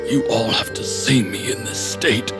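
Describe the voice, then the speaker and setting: A man voicing a dying, memory-lost warrior in a video game, speaking haltingly and weakly with a gravelly, dramatic delivery. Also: medium pitch, close to an everyday voice. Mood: confused, weary. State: weak, coughing. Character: dying amnesiac warrior, a yaksha, grieving and resolute. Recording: loud music behind the voice.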